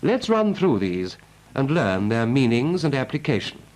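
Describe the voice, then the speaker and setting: A man's voice: medium pitch, big pitch swings, unhurried, a little mellow, slight background noise, low-quality recording. A British man narrating a 1940s military training film as an instructor, in a clipped, methodical and instructive manner.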